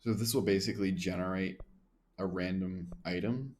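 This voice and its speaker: A man with a lowish voice talks through a first playthrough of a game, thinking aloud and half-muttering menu text. Faint ambient noise sits under his desk-mic sound. His delivery is casual, rambling and chatty. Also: moderate pace, darkish tone. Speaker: gaming streamer. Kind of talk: casual let's play commentary.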